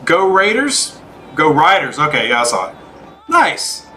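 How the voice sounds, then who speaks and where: A man with a high-pitched, bright, forward voice hosts a gaming livestream. He talks informally, moving between commentary on play and replies to viewers he greets by name. Light music plays behind him. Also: wildly swooping pitch, unhurried. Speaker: game livestream host. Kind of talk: casual livestream chat while gaming, answering viewers.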